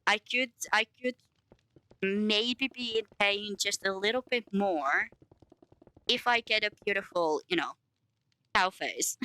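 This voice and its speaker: A woman's voice: mid-range voice, slow pace, pauses often, bright, forceful tone, clean tone, no room sound, poor audio. A young woman from Finland who speaks English with a light Finnish accent, chatting casually and rambling with her stream chat, with giggles and self-teasing. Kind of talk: casual chat to live chat while building in a game.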